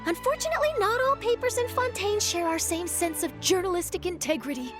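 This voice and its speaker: Young female journalist, extremely high pitch, perky, earnest, articulate, video game character, music behind. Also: fairly quick. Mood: earnest, indignant. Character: earnest, perky young woman newspaper reporter.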